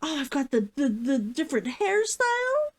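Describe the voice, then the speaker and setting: High-pitched, animated woman streamer. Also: moderate pace. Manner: giddy, giggly, gushing and repetitive while chatting. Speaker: vtuber and author hosting a read-along. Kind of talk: excited chat to viewers, then story reading.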